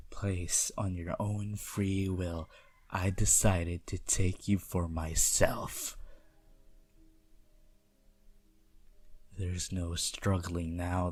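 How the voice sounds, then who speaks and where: A man playing a possessive, seductive vampire prince in a teasing, intimate ASMR roleplay with quiet menace. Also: low-pitched. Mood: coaxing, menacing.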